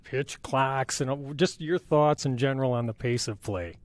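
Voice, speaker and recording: A man's voice: mid-range voice, moderate pace, no room sound. A man hosting a conversational interview, putting questions to his guest. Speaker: interview host.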